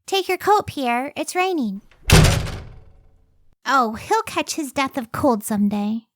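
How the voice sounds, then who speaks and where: A woman's voice: high-pitched, sing-song, slow, exaggerated voice. A woman playing a devoted, hard-working scientist wife in an old time radio drama. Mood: fond, gently chiding.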